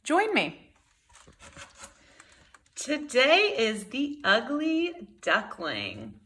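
A woman's voice: on the high side, wildly swooping pitch, very slow, sharp tone, a little hiss or hum. A woman in her thirties, a kindergarten teacher reading a storybook aloud expressively to young children.

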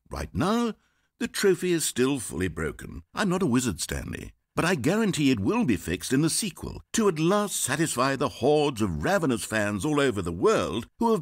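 A smug, scheming British narrator from a video game, his husky voice leaping from low mutters to high exclamations. The recording is close and completely dry, with no room around it. He talks theatrically, as if to an audience he is trying to win over. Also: dry recording, full character voice. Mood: boastful. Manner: theatrical, swinging wildly in pitch. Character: smug, scheming male narrator, middle-aged.

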